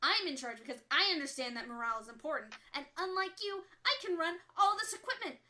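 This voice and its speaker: A woman acting in an audio drama as a fiery, defensive leader of an underground resistance radio broadcast, speaking with forceful emphasis. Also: high voice, lively intonation, fast. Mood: angry, indignant.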